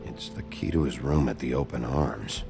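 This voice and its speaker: Very deep, gravelly noir detective. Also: male voice, normal speed. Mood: low, reassuring. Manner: blunt, terse. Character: gruff, weary, short-tempered male detective in a noir story. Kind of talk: video game voice acting. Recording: music under the dialogue.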